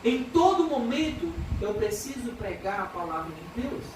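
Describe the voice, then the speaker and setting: A woman's voice: on the high side, big pitch swings, moderate pace. A woman with a Brazilian Portuguese accent preaching an expository sermon, earnest and emphatic, reading scripture aloud. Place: church hall. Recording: echoey church hall from far off, background noise.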